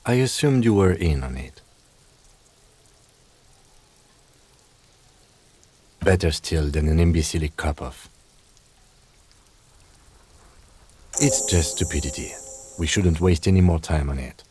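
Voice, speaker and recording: Calm game police lieutenant, low mellow voice, formal, matter-of-fact, dutiful. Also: male voice, a little fast, slightly rough, exaggerated voice. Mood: dry, resigned. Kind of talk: video game dialogue acting.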